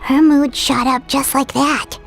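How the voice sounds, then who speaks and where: A woman voicing a tiny, excitable childlike fairy companion in a video game, with a squeaky voice. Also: measured pace, gravelly. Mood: amazed. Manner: refers to self in third person, exclaims. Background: background music.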